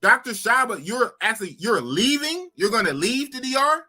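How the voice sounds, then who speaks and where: A man's voice: very high voice, normal speed. A man in his fifties hosting a live podcast, chatting loosely with his viewers and repeating himself. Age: 50s. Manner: animated, talks to chat, repeats phrases. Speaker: podcast host. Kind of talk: casual live-stream chat.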